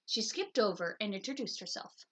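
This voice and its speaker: A woman reading a children's story aloud in an expressive storytelling style. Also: mid-range voice, moderate pace, slight background noise. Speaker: picture book reader.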